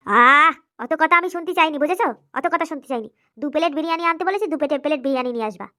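A woman's voice: sits high, lively intonation, racing, sharp tone. A woman voicing a sulky, greedy mistress in an amateur comedy cartoon, nagging and making demands. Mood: demanding.

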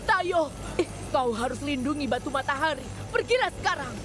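A firm, protective cartoon witch teacher. Her high voice gives orders bravely, with music clearly behind it. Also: animated, normal speed. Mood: urgent resolve. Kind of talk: children's cartoon dubbing.

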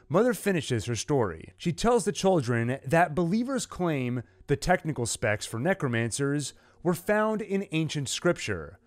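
A man hosting a video, delivering scripted recap narration and commentary in a measured, emphatic way. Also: mid-range voice, dry recording. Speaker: channel host, narrator.

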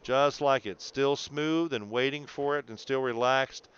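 A male sports coach talks a student through video footage in a smooth, clear voice at a medium pitch. He explains step by step and encourages as he goes, often checking for understanding. The sound is a little dull and lo-fi. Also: a little slow, a bit bright. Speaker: bowling coach. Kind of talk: instructional video analysis, coaching a student one to one.

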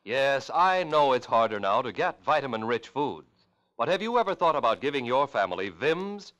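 Animated radio ad man. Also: medium pitch, normal speed. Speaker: advertisement announcer. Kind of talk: 1940s radio advertisement read.

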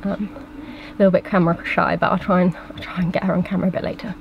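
A woman's voice: lowish voice, flat delivery, fast, vocal fry, dull audio, a little hiss or hum. A woman in her twenties chatting casually to camera inside a small boat cabin. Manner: chatty and enthusiastic. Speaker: sailing vlogger.

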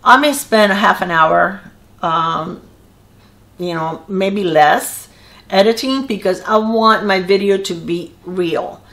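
A woman's voice: medium pitch, slow, a bit bright. An older woman with a Cuban accent talking straight to the camera in a casual, earnest way, rambling a little and restarting her sentences as she chats to her viewers. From Cuba. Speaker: beauty channel creator. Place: small indoor room with a wall of decorative tiles.

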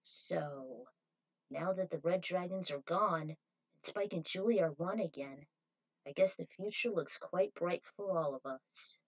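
A woman's voice: lowish voice, a little slow, highs cut off. A woman reading the part of a casual, teasing young space cowboy in a story. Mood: hopeful.

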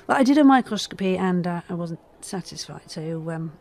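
An actress playing a forensic scientist in her forties, who talks haltingly with many fillers and restarts. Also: on the low side, expressive, creaky, a little mellow, a little hiss or hum. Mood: hesitant. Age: 40s. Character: guarded mid-forties woman forensic scientist. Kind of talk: audio drama acting.

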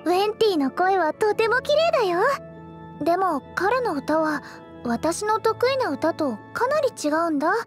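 Very high-pitched, sweet idol girl. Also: sing-song, unhurried, exaggerated voice. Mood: earnest, admiring. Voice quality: girlish, sweet. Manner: earnest, polite, gently encouraging. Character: cheerful, sweet teenage girl idol healer. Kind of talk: anime game character voice lines. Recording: studio voice over game music.

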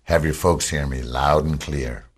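A stern captain played by a man commands a covert team in a radio drama. His voice is extremely deep and rough-edged. He speaks in terse, firm orders. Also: steady tone, measured pace, heavily acted. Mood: firm, commanding.

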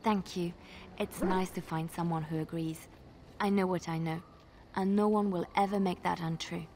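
A woman's voice: on the low side, steady tone, normal speed, a little hiss or hum, lightly acted. Earnest, devout young woman played by an actress. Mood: grateful, firm. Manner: hushed, earnest, dramatic storytelling delivery. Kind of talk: fantasy video game dialogue acting.

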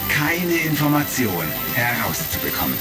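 A man's voice: medium pitch, big pitch swings, normal speed. A man narrating a retro radio play in a dramatic, scripted style. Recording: loud music, vintage crackle.

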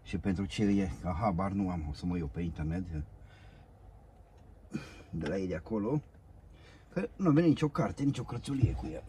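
A man's voice: low-pitched, lively intonation, pauses often, dark tone, a little hiss or hum. A middle-aged man in a parked car, muttering to himself and coaxing the parts while he works on the dashboard. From Romania. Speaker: DIY car vlogger. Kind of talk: casual self-talk while working. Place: inside a parked car, outdoors.

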